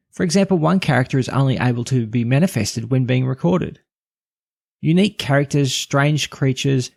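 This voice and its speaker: A man's voice: medium pitch, gravelly, darkish tone, no room sound, studio quality. A man hosting a podcast, reading a scripted review in a presenter's style.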